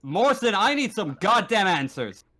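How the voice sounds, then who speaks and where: Man playing an animated, blustering station boss. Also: high-pitched, normal speed, voice pushed up, exaggerated voice. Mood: indignant. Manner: blustering, cursing. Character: gruff, exasperated male space station boss.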